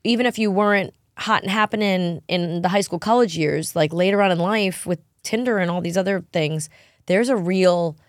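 A chatty, animated woman co-host with a lower voice, talking casually and laughing often. The sound is dry and close, with no room around it. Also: normal speed, no echo. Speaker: podcast co-host. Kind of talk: casual chat.

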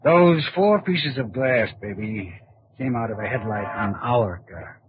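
A man's voice: medium pitch, expressive, unhurried, lightly acted. An actor playing a cocky, scheming con artist and killer in an old-time radio crime drama, talking in breezy tough-guy slang. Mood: bragging, hard-boiled. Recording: muffled, noisy old radio drama recording.

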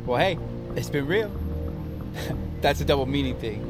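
An actor playing a chatty, upbeat man hungry for excitement in an audio drama, with a casual, playful manner. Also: medium pitch, a little slow, loud music behind the voice, natural voice. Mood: upbeat, teasing. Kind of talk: naturalistic audio drama acting.

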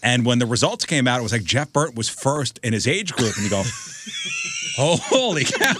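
A man's voice: medium pitch, wildly swooping pitch, normal speed, background noise. A man hosting a radio show, joking and teasing his guest in casual banter and laughing often.

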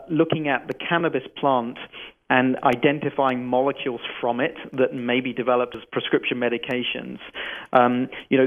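A man, a company chief executive, answering interview questions carefully, with frequent restarts. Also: medium pitch. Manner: measured, careful, restarts often. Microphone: phone. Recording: tinny phone line.

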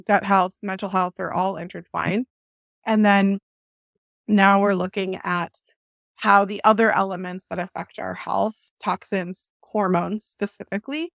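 A woman's voice: mid-range voice, creaky, no echo, studio quality. A woman hosting a podcast, reading an enthusiastic introduction of her guest. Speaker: podcast host.

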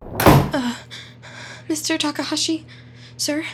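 An actress playing an awkward, eager-to-please office analyst in a comedic audio drama, hesitant and nervously over-polite. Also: female voice, sits high, steady tone, slow pace, very noisy. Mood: stiffly polite. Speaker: actor in a scripted audio drama.

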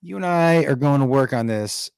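Playful male host on a video call, animated pitch, dry close sound. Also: normal speed, clear, resonant tone, dry recording. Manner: playful, self-deprecating. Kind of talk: casual video-call chat.

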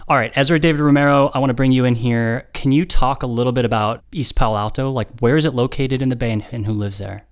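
A man's voice: mid-range voice, steady tone, quick pace, few pauses. A male radio host asking a reporter questions in a conversational way.

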